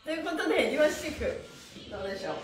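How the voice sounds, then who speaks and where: A high-voiced middle-aged woman leads a livestreamed stretch session with upbeat, exclamatory encouragement. She mixes short rhythmic cues with polite, playful chat. The room is echoey, and music plays behind her. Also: sing-song, fast. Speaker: stretching instructor and livestream host. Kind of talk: live exercise class, counting calls and friendly chat. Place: small bare indoor room with wooden floor.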